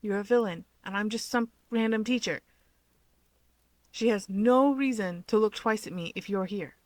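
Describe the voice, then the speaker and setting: A woman's voice: mid-range voice, normal speed, a little mellow, no room sound, pitched above the performer's own voice, natural voice. A woman voicing an anxious, exasperated young female schoolteacher and big sister in a story reading. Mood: flustered, indignant. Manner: exasperated, defensive.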